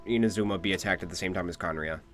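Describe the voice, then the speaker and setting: A man's voice: deep voice, rapid-fire, fairly bright tone, slight background noise. A man giving casual, conversational playthrough commentary as a game streamer.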